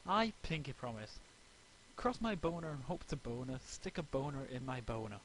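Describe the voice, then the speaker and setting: A man's voice: mid-range voice, very animated, moderate pace, a bit bright, pitched below the performer's own voice, poor audio. A man voicing a prissy, flustered female cartoon pony with hesitant, affected politeness. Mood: falsely reassuring.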